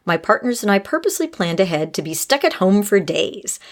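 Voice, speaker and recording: A woman's voice: mid-range voice, very animated, a little fast. A woman hosting a podcast in a conversational style, giving advice and talking to listeners. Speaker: podcast host.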